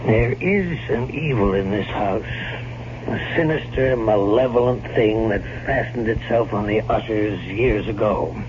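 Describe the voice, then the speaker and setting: An actor as a low-voiced, foreboding doctor. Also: male voice, lightly acted. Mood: ominous. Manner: deliberate, ominous, emphatic. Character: grave, foreboding family doctor in gothic horror. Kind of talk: dramatic radio horror acting. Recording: noisy, muffled old radio broadcast recording.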